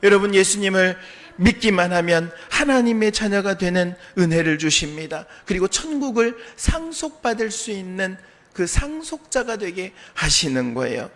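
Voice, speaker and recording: A man preaching a sermon as a pastor, his voice a little hoarse from a sore throat, earnestly asking the congregation questions and answering them. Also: sits high, big pitch swings, moderate pace, fairly bright tone. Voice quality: slightly strained, hoarse voice. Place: church sanctuary.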